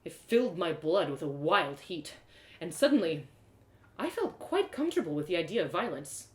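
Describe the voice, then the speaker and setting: A woman reading a first-person fantasy story aloud in expressive storybook narration. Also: lowish voice, normal speed. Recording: roomy echo.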